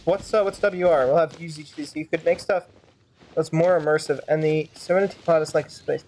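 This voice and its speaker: A woman's voice: low-pitched, fairly even intonation, a little fast, darkish tone. A young woman giving casual live gameplay commentary as a streamer, musing aloud in a rambling way. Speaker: game streamer. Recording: stream with faint game music behind.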